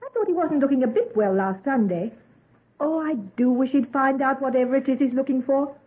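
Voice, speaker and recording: An actress playing an affectionate, earnest young sweetheart in old-time radio drama, effusive in manner. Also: female voice, high voice, fairly quick, close to an everyday voice. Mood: wistful, worried. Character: eager, affectionate young woman, fretful about her sweetheart. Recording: tinny narrow old radio recording.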